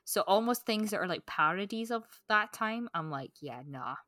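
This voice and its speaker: A woman's voice: medium pitch, lively intonation, moderate pace, pushed and bright, no echo, clean studio sound. A woman co-hosting a podcast, talking in a casual, candid way about books. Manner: candid, informal. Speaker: podcast co-host. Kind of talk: casual book discussion chat.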